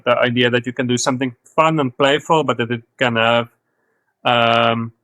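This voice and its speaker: A man's voice: medium pitch, fairly bright tone, no room sound. Hesitant South African man, dry sound. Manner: hesitant, many fillers and restarts. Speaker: guest, studio co-founder. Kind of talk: podcast interview.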